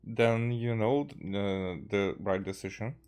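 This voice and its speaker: Low-voiced man, halting game commentary. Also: darkish tone, smooth. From Hungary. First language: Hungarian. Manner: halting, stammering, earnest. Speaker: game streamer. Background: game music.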